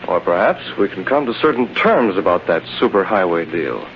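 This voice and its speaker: An actor playing a cool, commanding masked vigilante in clipped, authoritative vintage radio drama style. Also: male voice, sits low, big pitch swings, normal speed. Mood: menacing, taunting. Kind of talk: 1930s-40s radio drama acting. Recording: muffled, noisy vintage radio broadcast.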